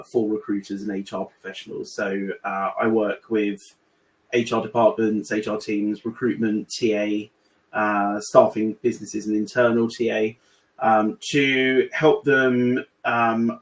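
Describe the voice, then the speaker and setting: A man's voice: fairly low pitch, poor audio. A British man from the UK, presenting as a guest expert on a webinar, talking with lots of restarts and repeated words. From United Kingdom. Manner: halting, many repeated words and fillers. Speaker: guest speaker on AI in recruiting. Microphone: video call.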